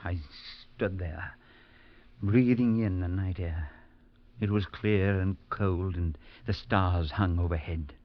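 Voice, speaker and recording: An actor playing a calm, grave priest who narrates a ghost story in a measured, low-key way, in a 1940s radio play. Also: male voice. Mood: hushed dread. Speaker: Father Murchison, narrator. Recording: dull lo-fi 1940s radio broadcast.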